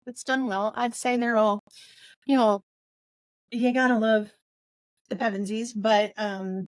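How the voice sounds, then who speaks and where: A woman's voice: fairly high pitch, fairly even intonation, a little slow, no room sound, poor audio. A middle-aged woman co-hosting a book podcast, chatting casually and repeating short phrases for emphasis. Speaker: podcast co-host.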